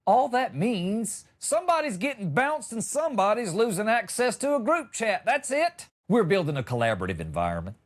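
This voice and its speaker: A man's voice: high voice, huge pitch swings, normal speed, hardly any pauses. A middle-aged American man hosting a comedy podcast, riffing in a wry, exaggerating monologue and acting out lines. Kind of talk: humorous monologue on news stories.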